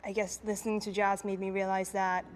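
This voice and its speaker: A woman's voice: mid-range voice, little pitch movement, a little fast, slight background noise. A young woman from Japan, a violinist, answering interview questions in a thoughtful, casual way with frequent fillers and light laughs. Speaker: musician interviewee.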